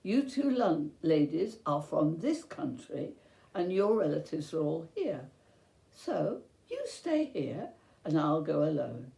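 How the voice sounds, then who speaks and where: A woman voicing a kindly, selfless older widowed mother in a children's storybook tale, gentle and earnest. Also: low voice, sing-song, takes it slowly, gravelly, full character voice. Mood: kind, resolute. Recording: roomy, echoey sound.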